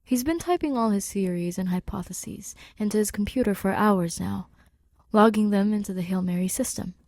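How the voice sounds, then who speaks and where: A woman's voice: medium pitch, moderate pace, darkish tone, no echo. A woman narrating a scripted story as a podfic reader, in a conversational, wry way.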